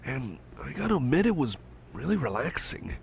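A man speaking a gentle ASMR roleplay as a caring cyborg friend, rambling and stammering, reassuring and soft. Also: medium pitch, sing-song, normal speed, vocal fry, slight background noise.

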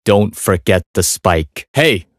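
An actor playing a calm, focused young Chinese assassin in a video game, cool, clipped and politely deadly. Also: male voice, deep voice, takes it slowly, no echo, studio quality. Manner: cool, understated, clipped delivery. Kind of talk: video game character voice lines.

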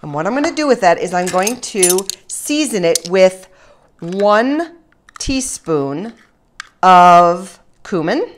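A woman's voice: fairly low pitch, lively intonation, slow pace. A woman hosting an informal cooking demonstration, giving step-by-step instructions with chatty little asides. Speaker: home cooking show host. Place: home kitchen, indoors. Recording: kitchen noise, faint sizzling and clatter.

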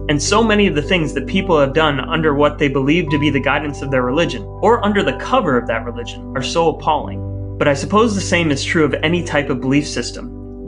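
An American man co-hosting a podcast in an articulate, measured, scripted delivery. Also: a little high. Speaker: podcast co-host. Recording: guitar music behind the voice. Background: guitar music.

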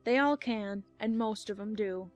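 A woman's voice: medium pitch, a little slow, faint music behind, natural voice. A woman playing a rude, brusque noblewoman in a dramatized audiobook, curt and dismissive. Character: brusque, rude Duchess with a baby.

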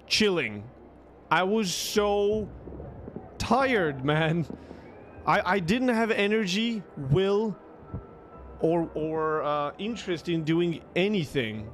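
A young man, a streamer, telling stories casually in a rambling, halting, conversational way into a close desk microphone. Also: fairly high pitch, fairly bright tone. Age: young adult. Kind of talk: casual livestream storytelling.

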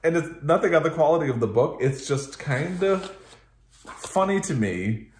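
A man's voice: a little high, animated, moderate pace, echoey room, slight background noise. A man in his thirties talking casually to camera about books, rambling thoughtfully as he reviews them in a living room. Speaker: book reviewer, video host. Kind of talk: casual book chat to camera. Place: small living room with couch and bookshelves, indoors.

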